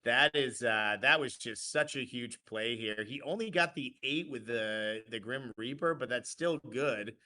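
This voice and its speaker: A man's voice: medium pitch, measured pace, few pauses, pushed and bright. A man giving live play-by-play commentary on a card game tournament, analytical and often restarting his phrases.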